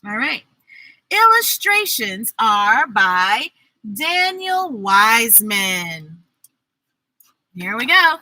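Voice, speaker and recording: Female kids' storytime host: very bright, forceful voice, unhurried pacing, playful and exuberant delivery. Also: fairly high pitch, big pitch swings, no echo. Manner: exuberant, playful, sound effects and drawn-out words. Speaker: children's storybook reader and host. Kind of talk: animated read-aloud for children with chatty asides.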